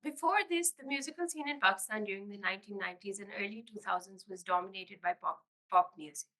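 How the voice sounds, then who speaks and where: A woman with a sore throat reading an academic essay aloud at a library event, measured and careful with small restarts. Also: mid-range voice, pushed and bright, poor audio. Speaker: graduate student reader.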